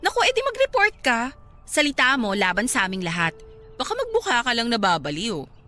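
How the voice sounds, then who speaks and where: A woman's voice: on the high side, huge pitch swings, fairly quick, bright, forceful tone, exaggerated voice. A woman playing a smug, cold-hearted young wife and scornful rival in a Filipino radio drama, dismissive and taunting, with a Filipino accent. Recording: dramatic music bed behind the voice.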